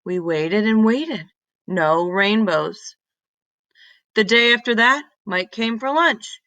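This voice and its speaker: A woman narrates a children's story with deliberate expression. Her voice is bright and clearly projected, at a middle pitch. She takes her time over the sentences, and the sound is close and dry. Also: dry recording. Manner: deliberate, animated reading with emphatic exclamations. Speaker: read-aloud storyteller.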